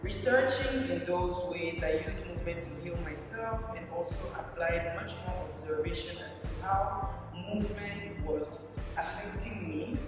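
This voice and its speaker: A woman's voice: fairly low pitch, unhurried. Woman, echoey hall. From Cameroon. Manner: relaxed and chatty, drops in "like" and "yo". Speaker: movement therapist and yoga instructor. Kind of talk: casual interview answers. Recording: echoey hall, distant mic, music behind.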